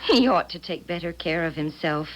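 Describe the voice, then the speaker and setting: An actress playing a sociable, teasing, curious mayor's wife in a 1970s radio drama, chatty and gossipy. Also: female voice, lowish voice, animated, normal speed, lightly acted. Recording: 1970s radio broadcast recording.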